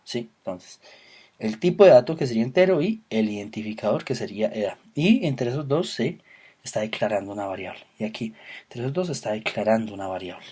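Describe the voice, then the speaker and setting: A man's voice: fairly low pitch, animated, a little fast, mellow, breathy edge. A man from Colombia, teaching a recorded programming lesson with patient explanations, frequent fillers and self-corrections. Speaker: programming instructor. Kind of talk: recorded lecture.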